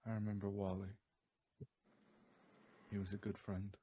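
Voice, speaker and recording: A man playing a detached, godlike superhuman scientist in a fan-made drama, speaking in a flat, measured, formal way. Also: on the low side, breathy edge.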